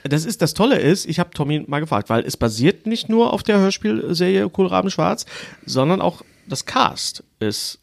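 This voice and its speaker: A man with a fairly high voice and very animated intonation hosts a casual film podcast. He talks in a stop-start way, hunting for his words as he chats. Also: moderate pace, vocal fry, hardly any pauses. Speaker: podcast host.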